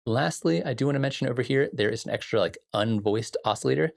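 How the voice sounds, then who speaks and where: A man giving a casual software tutorial as a music production instructor, explaining things in an informal way. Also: mid-range voice, fast, gravelly.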